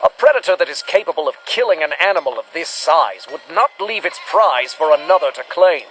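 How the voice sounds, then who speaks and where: An actor playing a bombastic, self-important but cowardly monster hunter in an old-fashioned radio adventure serial, proclaiming theatrically. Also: male voice, a little high, animated, brisk, a bit bright, slight background noise, poor audio. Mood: intrigued. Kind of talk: 1940s-style radio adventure serial acting.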